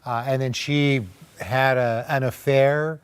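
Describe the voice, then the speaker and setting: A man's voice: mid-range voice, fairly even intonation, slow, clear, resonant tone. A man hosting a talk show interview, putting questions and recapping the guest's story in a conversational way.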